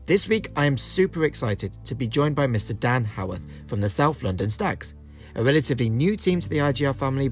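An upbeat male podcast host introduces the episode with plenty of enthusiasm. His voice sits at a middle pitch, and faint music plays behind him.

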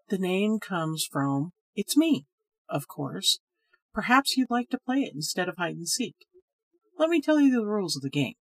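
Storybook role: grave, uneasy male storyteller, read by a woman, husky voice, measured telling. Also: lowish voice, low-quality recording. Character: sad, hesitant man telling a ghost story.

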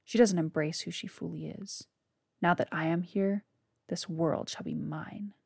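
A woman's voice: fairly low pitch, fairly even intonation, measured pace, creaky, a little mellow, husky, dry recording, clean studio sound, exaggerated voice. A woman voicing a cruel, arrogant young male vampire who taunts his father. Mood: mocking. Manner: taunting, sneering.

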